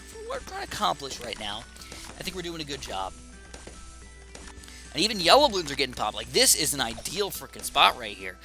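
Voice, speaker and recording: Excitable young man, bright slightly raspy voice. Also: a little high. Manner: chatty and excitable, thinking aloud. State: slightly raspy from a sore throat. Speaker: gaming YouTuber. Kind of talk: casual gameplay commentary.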